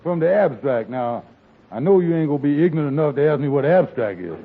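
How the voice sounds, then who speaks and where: An actor playing a scheming, smooth-talking male would-be promoter with wheedling dialect speech, in 1940s radio comedy. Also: on the high side, animated, fast, a little hiss or hum. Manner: wheedling, fancy-word talk, dialect speech. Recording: tinny old radio broadcast recording.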